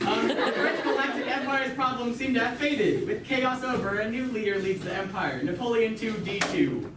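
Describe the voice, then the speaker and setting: A woman's voice: a little high, moderate pace. A woman reading comic scripted narration as the narrator of a show. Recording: echoey distant recording with clear noise.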